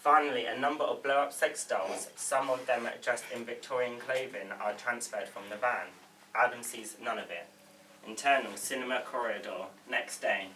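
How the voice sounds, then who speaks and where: A man's voice: medium pitch, steady tone, normal speed, bright, forceful tone. A man reading stage directions as scripted narration at a table read. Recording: echoey room, distant mic, faint noise.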